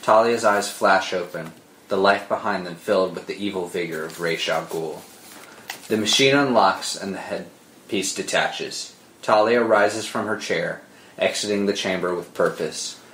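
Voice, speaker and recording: A man's voice: sits low, unhurried, fairly bright tone, a little hiss or hum. A man giving dramatic scripted narration, reading out a screenplay's stage directions. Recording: roomy sound with music underneath.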